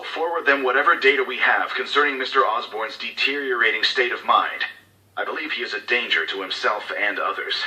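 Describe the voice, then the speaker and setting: A man's voice: lowish voice, sharp tone, reverberant, distant mic, rough recording. An actor playing a cold, scheming male corporate executive who gives covert orders in formal, clipped, authoritative speech for a game audio log. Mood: urgent, grim.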